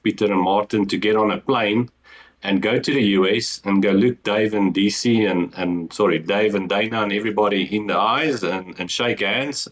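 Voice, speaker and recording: South african guy, afrikaans accent, lowish voice, earnest, lots of ums. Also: highs cut off. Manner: earnest storytelling, frequent ums and restarts. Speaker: guest, business co-founder. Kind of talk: casual interview talk.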